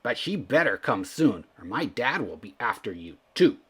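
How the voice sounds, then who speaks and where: Man putting on a cocky young boy beast, husky. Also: mid-range voice, sing-song, moderate pace. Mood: cocky, demanding. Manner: cocky, indignant, blunt. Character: bossy, spoiled sixteen-year-old boy beast. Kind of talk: storybook character voice.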